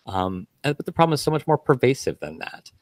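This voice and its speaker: A man speaking as a healthcare guest on a panel podcast, measured and hedging with fillers. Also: medium pitch, sing-song, no echo, clean studio sound.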